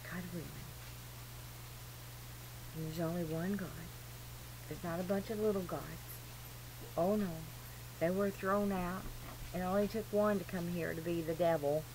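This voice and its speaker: A woman's voice: on the low side, steady tone, a little slow, long pauses. Earnest rambling woman on a noisy recording. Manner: earnest, emphatic, digressive. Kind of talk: rambling informal religious monologue. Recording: noisy amateur recording with clear hum.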